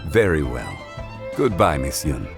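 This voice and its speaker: A man voicing a courtly, knowledgeable, unflappable gentleman in an anime-style video game, with formal, measured wording. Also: rumbling low, huge pitch swings, vocal fry, full character voice. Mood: courteous, composed. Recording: soft fiddle music behind the voice.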